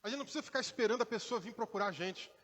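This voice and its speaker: A man's voice: high-pitched, very fast, fairly bright tone, in a large hall. A man from Brazil with a mixed Minas-tinged Brazilian Portuguese accent, preaching a conversational sermon in a church with acted quotes and asides. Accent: mixed Brazilian Portuguese, partly Minas Gerais. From Brazil, born in Rio, raised in inland Minas Gerais. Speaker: pastor.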